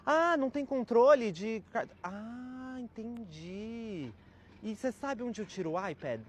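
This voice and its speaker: A man's voice: extremely high pitch, animated, measured pace, slightly rough, exaggerated voice. A man playing a snobbish rich schoolboy who talks in a condescending drawl. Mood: smug. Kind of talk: comedy sketch.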